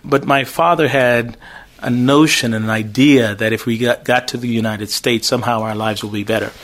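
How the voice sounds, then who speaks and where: Jamaican man speaking with measured care. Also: medium pitch, fairly even intonation, fairly bright tone. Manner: measured, thinks through words, occasional stutter. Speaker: pastor and school owner. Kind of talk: interview guest.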